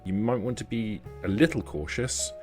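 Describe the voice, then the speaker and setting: A man's voice: fairly low pitch, normal speed, darkish tone, soft background music, natural voice. A man voicing a refined, courteous older vampire woman in a tabletop game. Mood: discreet, cautious. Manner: polite, genteel, addresses others as darling.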